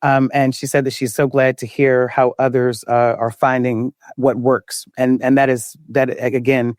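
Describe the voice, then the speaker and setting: A man hosting a panel conversationally, affirming others and repeating words haltingly as he goes. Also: medium pitch, level tone, a little mellow, dry recording, clean studio sound. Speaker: panel host, moderator. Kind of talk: conversational moderating, reading listener comments.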